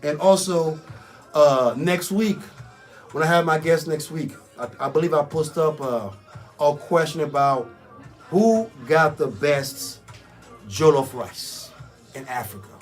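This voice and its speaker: A man with a raspy voice hosting a casual, improvised community radio show in an exclamatory hype style, repeating catchphrases. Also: a little high, animated, slow, soft background music. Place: radio studio.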